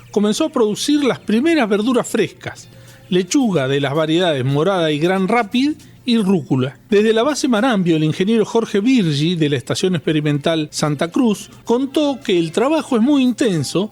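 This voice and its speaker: An Argentine man reads prepared news items for a regional radio show. His voice sits high for a man, and soft music plays behind him. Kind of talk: scripted radio news reading. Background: faint music bed.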